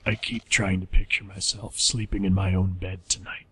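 A man's voice: deep voice, flat delivery, moderate pace, pushed and bright, a little hiss or hum. An actor playing a weary, bewildered father who fears for his life, in radio play dialogue. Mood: weary, resigned.

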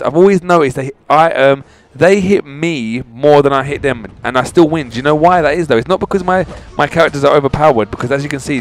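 Chatty man doing exasperated gameplay commentary. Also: a little high. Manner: chatty, exasperated, addresses viewers as dudes. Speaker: gaming channel host. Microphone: voice-over over mobile game footage.

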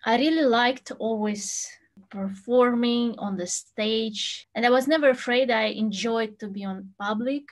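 A woman in her thirties from Russia, a native Tatar speaker, talking through an interview in English that is not her first language, thoughtful and quick to laugh, recorded from home on a webcam. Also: a little high. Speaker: pianist. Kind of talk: interview answers. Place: home room with a piano, indoors.

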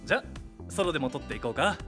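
Man acting a high-voiced, upbeat photographer. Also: lively intonation, music in the background, natural voice. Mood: upbeat, encouraging. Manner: brisk, encouraging. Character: upbeat, coaxing male photographer on a shoot. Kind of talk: anime-style voice drama acting.

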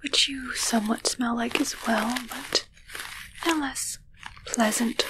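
A young woman playing a haughty, possessive witch in a whispered ASMR roleplay, hushed, teasing and unhurried, close to the microphone. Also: on the high side, fairly even intonation, very noisy, natural voice. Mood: wry, teasing. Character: possessive, haughty female witch toying with her familiar. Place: small dark draped room indoors.

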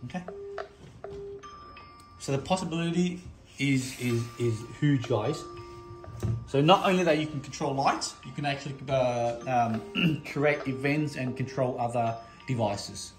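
Halting man demonstrating in an echoey room. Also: mid-range voice, faint music behind. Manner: halting, repetitive, addressing viewers as guys. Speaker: product demonstrator. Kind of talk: unscripted product demo. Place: indoor room, desk demo. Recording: echoey room with background noise.

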